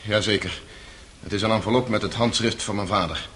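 A man's voice: on the low side, brisk, fairly bright tone, natural voice. A man acting an agitated, emotional accused son on trial for murder in a radio drama. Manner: impulsive, emotional, swinging between defiant and despairing. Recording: noisy old radio play recording.

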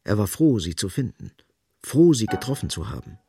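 A man narrates a radio play in a gravelly voice with lively shifts of pitch. His delivery is grave and literary, built on short, emphatic phrases. Music plays faintly underneath. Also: moderate pace.

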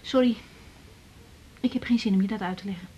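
A woman's voice: medium pitch, warm tone, breathy edge, highs cut off. An actress playing a guarded, defiant young woman doctor in a radio drama. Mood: defensive. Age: young adult. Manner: evasive, curt, quick to retort. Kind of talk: 1980s Dutch radio drama acting.

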